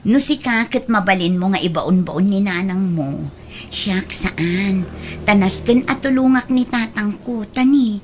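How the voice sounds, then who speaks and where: A woman's voice: mid-range voice, unhurried, darkish tone, lightly acted. A Filipino woman playing a dutiful, caring young friend in a radio drama. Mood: apologetic, earnest. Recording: noticeable background noise.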